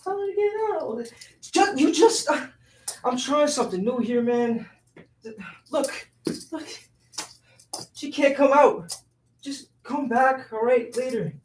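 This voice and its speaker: A man's voice: very high voice, animated, slow, long pauses, a little hiss or hum, heavily acted. A man acting a flustered, evasive young womanizer with a stammering, exasperated delivery in a staged play reading. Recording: roomy echo, distant mic.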